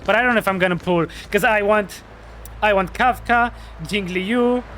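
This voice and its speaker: A man's voice: sits high, moderate pace, fairly bright tone, a little hiss or hum. A man casually commenting while playing a game, chatty and musing aloud. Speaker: streamer. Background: game music.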